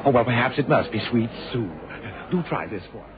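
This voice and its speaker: A man's voice: medium pitch, animated, a little fast, natural voice. An actor playing a fussy, obsequious shop salesman in a radio drama, courteous, hesitant and eager to please. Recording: noisy, phone-like old radio recording.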